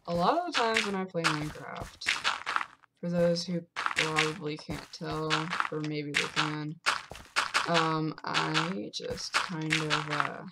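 Young woman gamer, low voice, slow absurd rambling, heavy background noise. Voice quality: fairly low voice. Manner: absurd deadpan rambling, sudden loud outbursts. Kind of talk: rambling joking commentary while playing.

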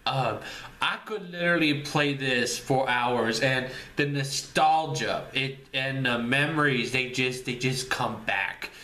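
A man's voice: medium pitch, slow pace, bright, forceful tone. A man of thirty talking casually to camera as the host of his channel, rambling and repeating himself in an upbeat, goofy way. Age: 30s. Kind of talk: casual vlog talk to camera. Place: small room indoors. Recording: echoey room, faint room noise.

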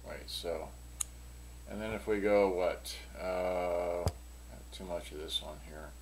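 Low-voiced man muttering over loud background noise. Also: slow. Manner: muttering to himself, rambling as he works. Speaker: product reviewer and seller demonstrating vintage electronics. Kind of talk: casual, unscripted hands-on product demonstration. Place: cluttered indoor workbench. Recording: noisy room recording with clicking sounds.

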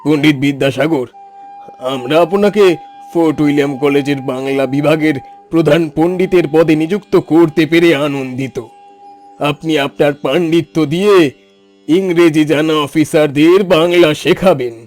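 A man's voice: fairly high pitch, unhurried, darkish tone, soft background music, natural voice. A man playing a formal, approving scholarly colleague and college official in scripted cartoon dialogue. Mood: formal, congratulatory. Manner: formal, admiring.

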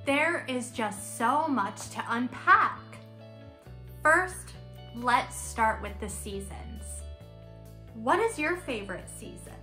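A young woman reading and discussing a picture book for small children, in an expressive, questioning teacher's manner. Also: a little high, takes it slowly. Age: young adult. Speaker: children's story-time teacher. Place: room at home, indoors. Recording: roomy echo, music underneath.

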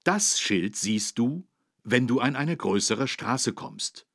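Slow, husky, kindly policeman. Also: medium pitch, lively intonation, fairly bright tone, no echo, studio quality, full character voice. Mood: patient, instructive. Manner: patient, instructive, emphatic. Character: kindly, careful policeman teaching children road safety. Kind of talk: scripted educational game narration for children.

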